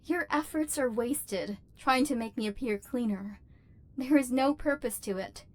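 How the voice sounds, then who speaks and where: A woman's voice: on the high side, a little slow, natural voice. A woman voicing an embittered, lonely robot overlord in an ASMR roleplay, with formal, precise diction and hurt tenderness underneath. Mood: flustered, defiant, then cold, guarded. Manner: formal, precise, stilted diction with wounded feeling. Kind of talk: scripted ASMR roleplay acting.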